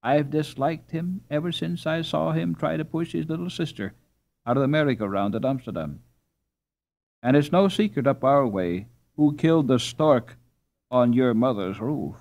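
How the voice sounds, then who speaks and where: A man's voice: on the high side, normal speed, gravelly, darkish tone, voice pushed up. A man voicing a kind, gaily teasing young Dutch girl who pleads sweetly in a storybook. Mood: earnest, pleading. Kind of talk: storybook character reading.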